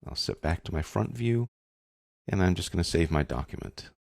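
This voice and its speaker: A man narrating a software tutorial as an instructor, explaining step by step in a casual way. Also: sits low, animated, normal speed, creaky, no echo, clean studio sound.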